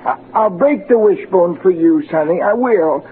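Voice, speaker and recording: A wheedling old bum, high pitched, slangy, old 1940s radio show, noisy muffled recording. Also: male voice, expressive, moderate pace. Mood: pleading. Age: older. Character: shifty wheedling old vagrant man, streetwise.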